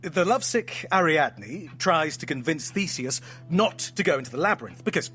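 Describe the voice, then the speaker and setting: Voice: medium pitch, lively intonation, normal speed, a bit bright, soft background music, lightly acted. An actor in an audio drama playing a playful, theatrical storyteller who narrates with grand melodrama and teasing asides. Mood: mock-grand. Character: playful, theatrical storyteller friend spinning a myth at home.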